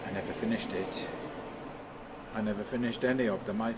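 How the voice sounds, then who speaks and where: An actor playing a haunted, restless man in a radio play monologue, halting and repetitive, moving between muttering and command. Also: on the low side, natural voice. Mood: rambling, bitter reminiscence. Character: haunted, rambling man talking to his dead father, brooding and restless. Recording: clearly noisy, steady ambient sound.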